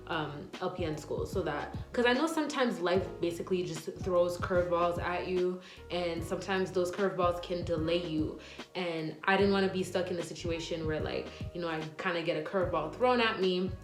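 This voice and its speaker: A woman in her twenties, a nurse answering viewer questions in a casual vlog, chatty and rambling with lots of fillers. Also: fairly low pitch. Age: 20s. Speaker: nurse and vlogger. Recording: echoey room with faint music.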